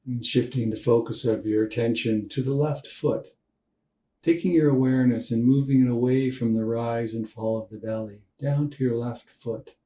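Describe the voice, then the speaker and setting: A man guiding a meditation for sleep in a calm, unhurried, gentle instructional manner with long pauses. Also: medium pitch. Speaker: meditation guide. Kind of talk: guided body scan meditation for sleep. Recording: roomy echo, distant from mic.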